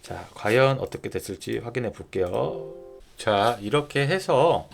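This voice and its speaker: A man narrating a software tutorial step by step in informal polite speech, often posing a question and then answering it himself. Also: medium pitch, expressive, measured pace, gravelly. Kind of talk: screen-recording tutorial narration, explaining step by step.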